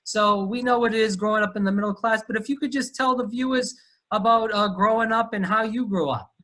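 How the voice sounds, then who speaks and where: A man's voice: high voice, brisk, bright, forceful tone. A man from Rhode Island with a Rhode Island accent, hosting a casual interview and talking in a chatty way with lots of fillers. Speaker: podcast host.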